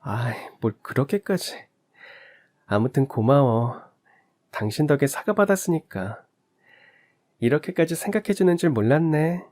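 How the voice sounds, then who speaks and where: A man voicing a gentle forgiving middle-aged wife. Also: a little high, sing-song, measured pace, pauses often, pitched above the performer's own voice. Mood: bashful.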